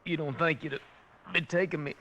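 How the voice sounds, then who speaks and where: An actor playing a rueful captured outlaw in a 1950s radio western, weak and dying but still defiant. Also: male voice, fairly high pitch, fairly even intonation, fairly quick, bright, forceful tone. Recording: faintly noisy old radio recording.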